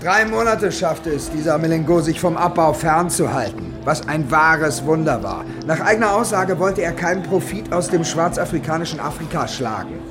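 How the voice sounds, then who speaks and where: Commanding radio-play narrator over music. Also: male voice, on the high side, animated, normal speed, few pauses. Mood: storytelling. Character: domineering, territorial male narrator of a seedy city. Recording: music behind the voice.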